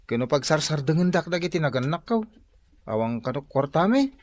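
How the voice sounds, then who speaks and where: A man's voice: a little high, very animated, fairly quick, darkish tone. Guy playing young ilocano student handyman, earnest and broke, voice jumps around, radio drama. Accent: Filipino, Ilocano.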